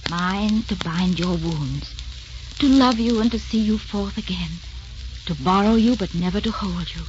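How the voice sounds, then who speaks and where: A woman's voice: mid-range voice, a little slow, a little mellow, slight background noise, lightly acted. An actress playing a devoted, self-sacrificing lady lover in an old-time radio romance, speaking in a solemn, declamatory way. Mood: noble, impassioned. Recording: muffled old radio broadcast, music behind.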